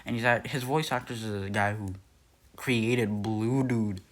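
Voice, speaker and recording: A man's voice: lowish voice, steady tone, unhurried. Rambling young guy, lots of fillers. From Nepal. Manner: rambling, hesitant, many fillers and restarts. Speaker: youtuber. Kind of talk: casual lore video narration.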